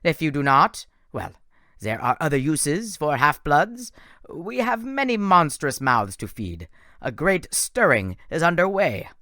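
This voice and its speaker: A man voicing a menacing male monster who speaks slowly and theatrically, gloating over his captives. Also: fairly high pitch, big pitch swings, bright, forceful tone, dry recording, clean studio sound, pitched above the performer's own voice, full character voice.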